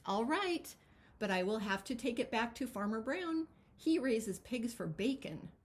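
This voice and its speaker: A woman reading as a measured, practical storybook man. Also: a little high, big pitch swings, voice pushed up. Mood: resigned. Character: granny's practical male friend, offering advice. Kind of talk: children's storybook character.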